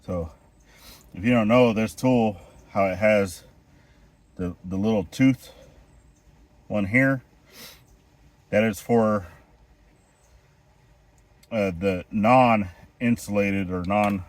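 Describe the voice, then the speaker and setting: Brief: a low-voiced male homestead vlogger, congested from a chest cold, walking through a repair as he works outdoors. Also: slow, long pauses. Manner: explains step by step while working, relaxed. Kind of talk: hands-on DIY how-to. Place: outdoors at a well house, kneeling on rocks.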